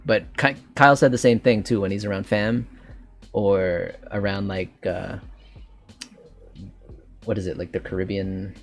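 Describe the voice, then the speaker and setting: Podcast host, male, Canadian accent, lowish voice, loose rambling delivery with restarts. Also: steady tone, measured pace, long pauses, faint music behind. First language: English. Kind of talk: casual chat.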